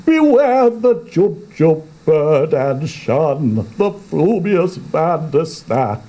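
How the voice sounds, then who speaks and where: A man voicing a theatrical, protective father in a dramatic verse recitation, exclaiming with drawn-out words. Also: on the high side, big pitch swings, dark tone, voice pushed up, full character voice. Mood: urgent warning. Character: fretful protective father, swooping from warning to gleeful joy.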